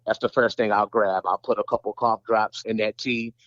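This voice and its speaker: Animated man telling arena stories. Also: lowish voice, normal speed, no room sound, muffled recording. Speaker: NBA arena host, podcast guest. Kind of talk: casual interview storytelling.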